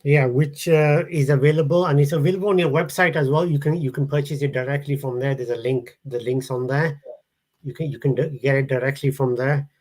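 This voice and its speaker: Podcast host, interviewer, halting, restarts, mid pitch. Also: steady tone, a little mellow. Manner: hesitant, many fillers and restarts.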